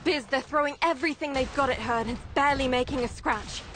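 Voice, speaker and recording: Woman playing a high-voiced, commanding rebel leader. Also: fast, background noise, lightly acted. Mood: concerned. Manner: authoritative, briefing. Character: commanding, determined woman leading a rebel operation. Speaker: mission commander. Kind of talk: video game character acting.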